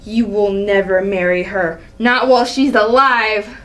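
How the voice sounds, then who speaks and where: A woman's voice: mid-range voice, level tone, measured pace, a bit bright, lightly acted. A woman acting an enraged, proud king and father in a dramatic reading of Greek tragedy, with an indignant, imperious delivery. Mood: accusing. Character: proud, enraged male king, father berating his son.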